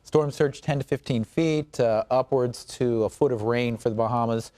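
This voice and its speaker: A man's voice: medium pitch, moderate pace, a little mellow, dry recording. A man with an American accent giving a live morning weather report in an informal, joking, chatty way. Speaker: TV weather forecaster.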